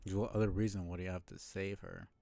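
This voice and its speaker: A man's voice: deep voice, flat delivery, fairly quick, gravelly, warm tone. A man giving casual live game commentary as a streamer, thinking aloud in a speculative, casually sweary way.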